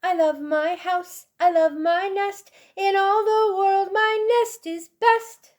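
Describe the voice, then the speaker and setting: A woman's voice: very high-pitched, steady tone, slow, a little mellow, pitched above the performer's own voice. A woman voicing a contented, house-proud mother bird in a children's storybook, emphatic and sentimental. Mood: happy, singing.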